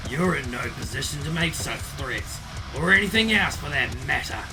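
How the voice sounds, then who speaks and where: A man's voice: a little high, animated, moderate pace, close to an everyday voice. A man voicing a cold, smug schoolboy turned vengeful killer in a visual novel, with a smirking, menacing manner. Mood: cold threat. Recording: distant voice under loud music.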